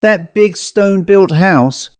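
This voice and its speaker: A man's voice: sits high, takes it slowly, darkish tone, no room sound, voice pushed up. A man voicing a friendly, easily astonished rural man in an audiobook story, with folksy, exclaiming country talk. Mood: puzzled.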